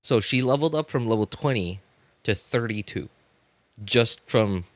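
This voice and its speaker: A man casually chatting as a live-stream host, answering viewers in a halting way and repeating his phrases. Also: mid-range voice, dry recording. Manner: halting, repeats phrases, animated incredulous reactions.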